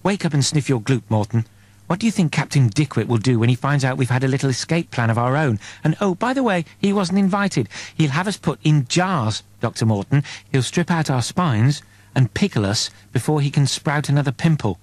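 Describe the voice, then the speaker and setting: A man's voice: mid-range voice, expressive, brisk, a little mellow, no room sound, natural voice. A man voicing a bitter, exasperated spaceship crewman in an audiobook, with crude, sardonic delivery. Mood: scathing urgency. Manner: scathing, sardonic.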